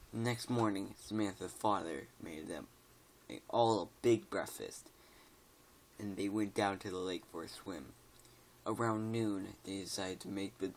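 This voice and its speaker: Gravelly low-voiced man reading a scary story. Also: slight background noise. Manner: stumbles over words and jokes about them. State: tired.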